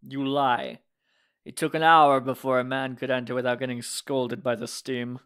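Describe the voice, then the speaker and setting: Male actor as a Roman official, smooth clear voice, scornful, disbelieving, audio drama. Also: medium pitch, flat delivery, normal speed, a bit bright, natural voice.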